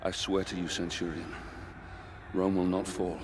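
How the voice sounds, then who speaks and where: A stern Roman general, battle-hardened and commanding. His low voice carries grave, forceful authority in dramatic game dialogue, with clear battle noise behind it. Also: little pitch movement, unhurried. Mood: resolute command. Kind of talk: dramatic video game acting.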